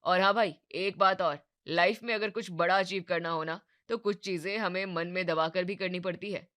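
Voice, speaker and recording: A confident young city man acted by a woman pushes hard in a loud voice. He is insistent and persuasive, the eager friend of an animated moral story. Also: lowish voice, moderate pace, close to an everyday voice. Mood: urgent, insistent.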